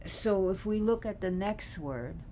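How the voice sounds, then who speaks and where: A woman giving an informal, unscripted explanation, halting as she searches for words. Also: mid-range voice, expressive, dark tone. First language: not Gaelic. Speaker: presenter explaining names of a Celtic deity. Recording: clear background noise.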